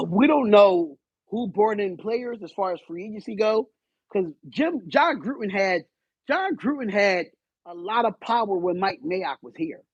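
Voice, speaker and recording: A man hosting a live stream, loud and animated, swearing and arguing back at his chat. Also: sits high, unhurried, husky, no room sound, muffled recording. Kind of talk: casual live stream rant.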